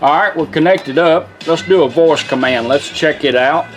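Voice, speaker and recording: A man's voice: a little high, normal speed, faint music behind. A man giving a casual, hands-on product demonstration in a folksy, plain-spoken way.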